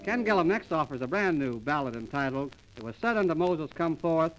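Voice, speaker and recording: High-pitched mock-grand 1930s radio announcer man. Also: animated, fairly quick, over loud music, rough recording. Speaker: comedy announcer and master of ceremonies. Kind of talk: scripted 1930s radio comedy presenting. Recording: restored 1930s radio transcription disc.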